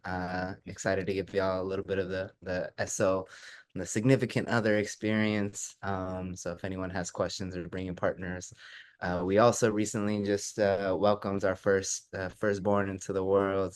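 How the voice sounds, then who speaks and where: A man speaks casually on a panel with a deep, clear voice. His delivery is laid-back and flat in intonation. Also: no echo. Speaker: panelist, student's spouse.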